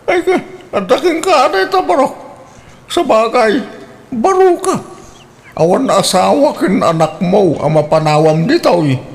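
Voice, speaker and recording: Older father in a radio drama, high and animated, played by a man. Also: unhurried, gravelly, exaggerated voice. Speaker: father in the family. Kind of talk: Ilocano radio drama acting.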